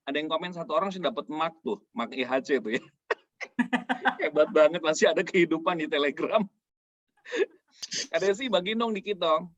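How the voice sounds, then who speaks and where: An upbeat man with a notably high voice hosts an online chat, cheering his guests on and reacting quickly. His voice comes through a dry, dull, lo-fi call line. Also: a bit bright, no echo. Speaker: host of an online sharing session. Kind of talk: casual group chat moderation.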